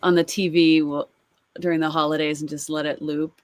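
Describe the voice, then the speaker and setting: A woman's voice: deep voice, little pitch movement, a little fast, no room sound, studio quality. A woman co-hosting a podcast, chatting casually and in a friendly way as she introduces videos, laughing easily. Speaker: podcast co-host. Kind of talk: casual chat reacting to videos.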